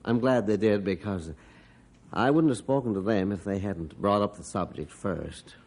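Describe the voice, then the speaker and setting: A man's voice: lowish voice, normal speed, a little mellow. An actor in a 1950s radio drama playing a grieving middle-aged father telling how his dog died, earnest and heartfelt. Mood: somber.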